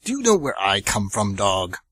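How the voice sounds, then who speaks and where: A man voicing a haughty house cat who sees itself as a miniature lion, speaking in a lowered, scornful, superior tone in a dry college radio studio. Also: wildly swooping pitch, normal speed, fairly bright tone, slightly rough, no room sound, full character voice. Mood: grandiose.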